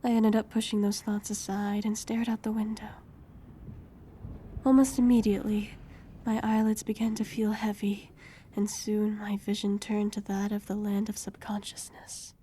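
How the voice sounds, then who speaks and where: A young woman playing a wary, homeless heroine in her twenties, telling her story softly and with measure in an audio drama. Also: mid-range voice, monotone, moderate pace, a little hiss or hum, close to an everyday voice. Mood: reflective. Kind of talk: first-person audio drama narration and dialogue.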